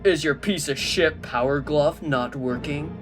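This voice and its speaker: A woman voicing a gruff, indignant illusionist villain who rants loudly. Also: deep voice, measured pace, faint music behind, pitched below the performer's own voice. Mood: taunting. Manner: ranting, indignant.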